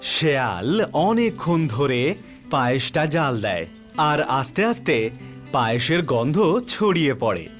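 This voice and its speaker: A man's voice: medium pitch, sing-song, a little slow, soft background music. A man narrating a scripted children's story as the storyteller.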